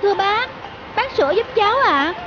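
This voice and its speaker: A woman voicing a polite, pleading youngster in an animated story, over loud music. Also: very high voice, normal speed, lo-fi sound, noisy background. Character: very high-voiced young child, polite and pleading. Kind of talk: animated moral story dubbing. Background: loud background music.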